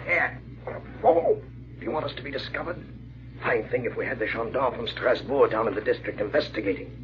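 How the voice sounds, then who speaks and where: An actor playing a ruthless, smug bandit leader and husband in an old-time radio drama, mocking and commanding. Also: male voice, mid-range voice, big pitch swings, a little fast, close to an everyday voice. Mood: irritated, smug. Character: ruthless, smug husband secretly leading a murderous bandit gang. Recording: muffled, noisy vintage radio recording.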